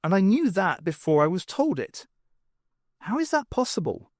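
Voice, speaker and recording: Man reading as an impatient woman adventurer, raised pitch. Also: sing-song, normal speed, no echo, studio quality, exaggerated voice. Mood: puzzled. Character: exasperated, sharp-witted human woman adventurer.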